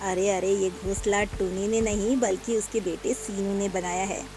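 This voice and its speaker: A woman's voice: medium pitch, steady tone, moderate pace, noisy background, close to an everyday voice. A woman voicing an older female bird aunt in a cartoon, giving kindly, advising talk. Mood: correcting.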